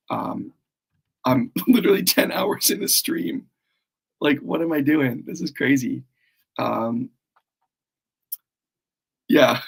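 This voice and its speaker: A man with a cold and a worn, hoarse voice, rambling casually through a live stream as he launches his course. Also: mid-range voice. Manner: rambling, self-deprecating, frequent fillers.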